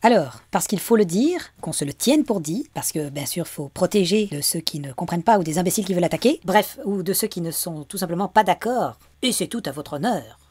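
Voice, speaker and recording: A woman reading a show disclaimer in a sardonic, energetic way. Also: on the low side, a little fast, few pauses, slightly rough, no room sound. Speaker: show presenter. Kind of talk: ironic disclaimer.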